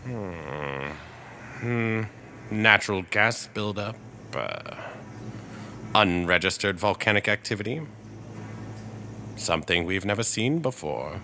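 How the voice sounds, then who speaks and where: An actor playing a reserved, scholarly male astronomy professor in a radio drama, speaking in a halting, deliberate, formal way. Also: lowish voice, expressive, bright, forceful tone, a little hiss or hum, exaggerated voice. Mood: guarded, thoughtful. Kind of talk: radio drama acting in 1938 broadcast style.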